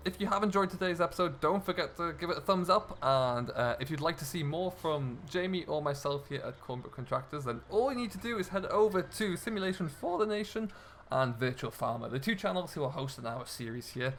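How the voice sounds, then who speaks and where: A man's voice: on the high side, animated, fairly quick, hardly any pauses, fairly bright tone, a little hiss or hum. A man hosting a gaming video, giving casual role-play commentary as he plays a farming simulator. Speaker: host of a gaming video series.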